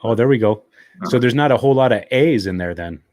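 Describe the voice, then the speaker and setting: A man's voice: medium pitch, animated, brisk, a little mellow, no echo, clean studio sound. A man hosting a motorcycle live stream from home, chatting casually and easygoing, with fillers. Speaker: motovlog live stream host.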